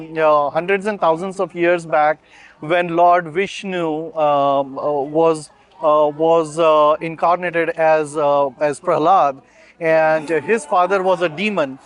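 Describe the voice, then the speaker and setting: A man explaining a festival tradition outdoors, speaking haltingly with many filler sounds. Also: a little high. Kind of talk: unscripted explanation on camera.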